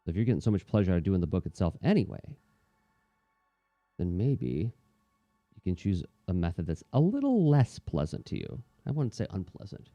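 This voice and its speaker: A man's voice: low voice, wildly swooping pitch, moderate pace, mellow, dry recording, faint music behind. A man in casual, reflective chat, talking in a thoughtful, rambling, conversational way as an artist hosting a livestream.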